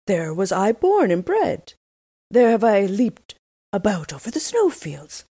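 A woman's voice: medium pitch, very animated, measured pace, mellow, slightly rough, dry recording, clean studio sound, voice pushed up, heavily acted. A woman reading a storybook, voicing a proud, free-spirited reindeer who speaks of its home with glowing pride.

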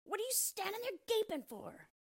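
A woman voicing a spiteful little old male dwarf from a fairy tale, with a shrill, screeching voice and an exclaiming, scolding manner. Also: very animated, normal speed, creaky, heavily acted. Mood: shrill outrage. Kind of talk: storybook character voice.

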